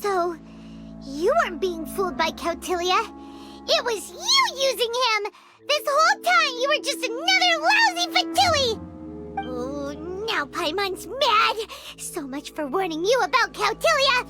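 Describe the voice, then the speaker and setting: Tiny excitable anime game fairy girl, super high squeaky kid voice, music behind. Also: unhurried, full character voice. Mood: indignant. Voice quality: squeaky, childlike. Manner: refers to self in third person, exclaiming. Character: tiny excitable childlike flying fairy companion, female.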